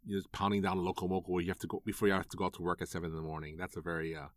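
A middle-aged man from Hawaii, a food writer, talking in a relaxed, conversational way with lots of fillers. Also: low voice, rapid-fire, dry recording, clean studio sound. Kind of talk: interview commentary.

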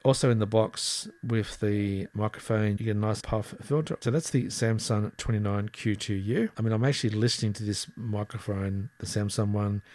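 A man with a low voice talks through a podcast gear demo in a plain, methodical way, explaining each part as he goes. The sound is close and dry, with little room tone. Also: steady tone, normal speed, hardly any pauses, darkish tone, dry recording. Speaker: gear reviewer. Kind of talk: casual product demo. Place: room with air conditioner running. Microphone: headset boom mic, then desktop dynamic mic.

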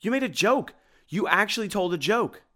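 Man doing an excitable high-pitched young sidekick. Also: brisk, clear, resonant tone, lightly acted. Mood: amazed, elated. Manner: exclamatory, jokey. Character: Tim, excitable, wisecracking, cheeky young male sidekick.

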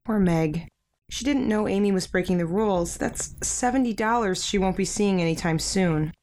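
An actress playing a shy, kind, earnest young sister in a modern audio drama, speaking gently. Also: female voice, medium pitch, normal speed, slight background noise, natural voice. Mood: concerned.

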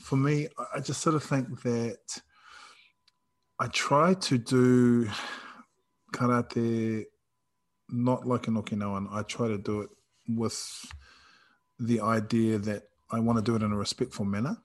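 A man with a New Zealand accent in casual conversation, reflective and halting, often rephrasing as he goes. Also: medium pitch, level tone. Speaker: karate practitioner in discussion.

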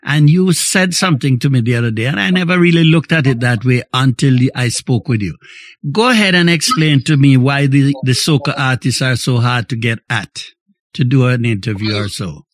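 A man hosting a casual interview, speaking haltingly and repeating words as he goes. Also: medium pitch, lively intonation, no echo, studio quality. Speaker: interviewer and host.

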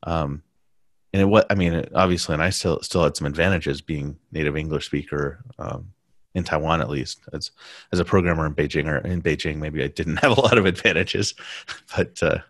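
A man talking casually in a conversation, pausing and restarting his sentences often. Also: extremely low pitch, dry recording, studio quality. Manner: halting, many restarts and fillers.

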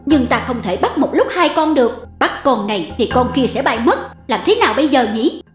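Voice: high voice, sing-song, brisk. An actor voicing a sly, scheming wildcat who gloats and talks pompously as a false forest god. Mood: gloating, scheming. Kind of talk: children's animated fairy tale villain. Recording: music behind the voice.

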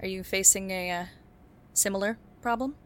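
A woman's voice: medium pitch, normal speed, slight background noise, close to an everyday voice. A woman playing a wry hard-boiled private eye. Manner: wry, deadpan noir narration. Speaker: private detective narrator. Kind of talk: film noir narration.

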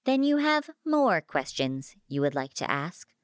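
A woman voicing a sly, polite fabricator machine that speaks in a formal, measured, teasing way in an audiobook story. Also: mid-range voice, sing-song, clean tone, dry recording, clean studio sound.

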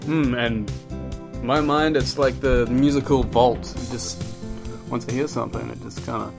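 A man's voice: medium pitch, fairly even intonation, normal speed, gravelly, over music. A man chatting in a mock-serious, joking way as a podcast co-host. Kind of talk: casual comic chat with co-host.

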